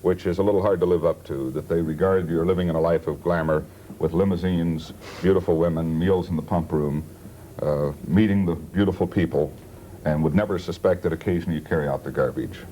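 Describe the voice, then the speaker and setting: Deep-voiced American man hosting late-night talk. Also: steady tone, a little fast, mellow. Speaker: talk show host. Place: TV studio. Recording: noisy 1970s TV studio tape.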